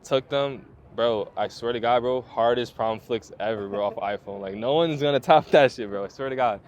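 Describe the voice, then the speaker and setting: A man's voice: medium pitch, normal speed, darkish tone. A man in his twenties, a photographer, telling his story in a laid-back interview with lots of slang, fillers and swearing. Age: 20s. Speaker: photographer guest.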